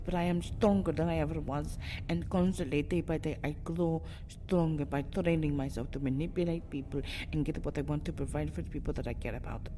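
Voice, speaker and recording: A woman performs a centuries-old vampire girl in an ASMR roleplay drama, dark and mellow in tone. She is proud, protective and distraught, speaking theatrically with breathy, whispery dips. Clear ambient noise sits behind her. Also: sits low, close to an everyday voice. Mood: proud, defiant. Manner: theatrical, often dropping into breathy whispers, swelling into agitated outbursts. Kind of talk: ASMR roleplay monologue, melodramatic acting.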